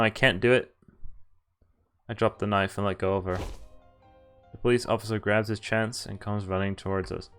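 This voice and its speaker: Excitable man reading a visual novel aloud. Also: fairly low pitch. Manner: excitable, thinks aloud, reads story lines in first person. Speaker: streamer playing a visual novel. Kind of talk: live game commentary and reading text aloud.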